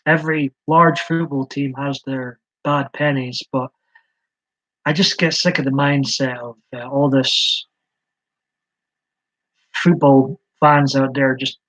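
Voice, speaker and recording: A man's voice: medium pitch, flat delivery, slow pace, pauses often, fairly bright tone. A man from Scotland hosting a live stream, chatting in a rambling, hesitant way with many ums. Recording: slightly dull lo-fi stream audio.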